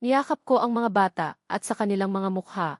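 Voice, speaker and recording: A woman narrates a romantic drama in the first person, in a scripted storytelling style. Her voice sits at a medium pitch, and the sound is very dry with hardly any room on it. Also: fairly quick, dry recording.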